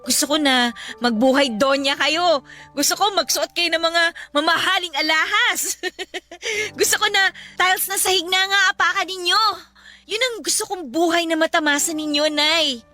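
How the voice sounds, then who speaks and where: A determined, loving young Filipino daughter in radio drama style. Her voice is very high and piercingly bright, with an earnest, sentimental manner. Soft music runs underneath. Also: moderate pace. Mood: determined, affectionate. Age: young adult. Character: devoted, determined grown daughter, respectful to her mother. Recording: music bed behind the voice.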